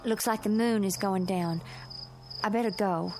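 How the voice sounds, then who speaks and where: A woman's voice: mid-range voice, a little slow, background noise, natural voice. An actress playing a sly, secretive young mountain woman with a rural Southern American accent in a radio drama. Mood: anxious, evasive. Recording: radio play with music bed.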